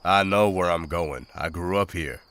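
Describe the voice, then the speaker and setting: Stoic male monster hunter, played by a man, very low voice, dry wit, terse delivery, visual novel. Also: normal speed, smooth. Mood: calm, matter-of-fact. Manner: deadpan, terse.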